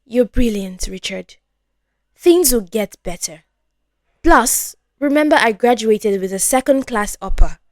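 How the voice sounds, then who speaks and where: A woman voicing a devoted, self-sacrificing young woman in love in a storytelling tale, soft and pleading in manner. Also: fairly high pitch, animated, measured pace, no room sound, studio quality. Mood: gentle concern.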